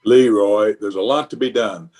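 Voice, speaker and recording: A man's voice: on the low side, moderate pace, a little mellow, close to an everyday voice. A man playing a blustering, self-important middle-aged uncle and small-town official in an old-time radio comedy, with a pompous manner. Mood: bossy.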